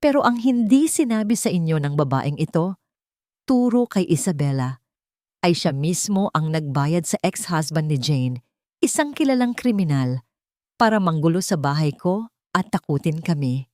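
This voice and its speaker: A woman voicing a determined wealthy man who speaks with steady authority. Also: deep voice, moderate pace, no room sound, clean studio sound. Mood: firm.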